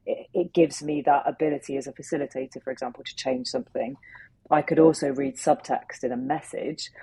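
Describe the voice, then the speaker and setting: A woman's voice: sits low, gravelly, warm tone, slightly rough, rough recording. A woman answering interview questions as a workshop facilitator, earnest and thoughtful, talking in long flowing sentences. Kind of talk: reflective conversational interview answers.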